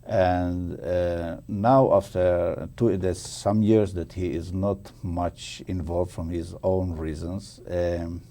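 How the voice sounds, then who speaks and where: Deep, very dark, gravelly man's voice. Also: level tone. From Morocco. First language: not English. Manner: halting, careful delivery. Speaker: ambassador, panel guest. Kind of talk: diplomatic discussion.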